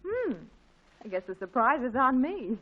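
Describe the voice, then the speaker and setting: A woman playing a patient, dry-witted mother in a 1940s radio comedy, with a deadpan, teasing manner. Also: sits high, moderate pace. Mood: wry. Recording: muffled 1940s radio recording.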